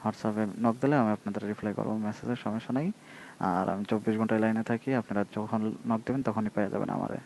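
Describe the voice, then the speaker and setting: A man with a Bangladeshi accent giving a casual, repetitive sales pitch and tutorial, often checking in with short tag questions. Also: fairly even intonation, fast talker, a little mellow, a little hiss or hum, low-quality recording. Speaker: online seller presenting a product demo.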